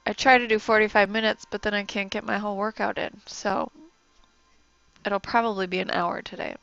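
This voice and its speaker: A soft-spoken young woman, a yoga instructor, giving gentle, encouraging instructions and breathing cues. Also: medium pitch, fairly even intonation, a little fast, gravelly, dry recording, lo-fi sound, soft background music. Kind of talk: guided yoga class instruction.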